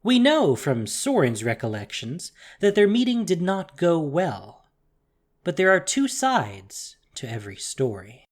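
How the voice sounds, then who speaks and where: A narrator reading a scripted fantasy story in a measured, theatrical way with deliberate pauses. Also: medium pitch, huge pitch swings.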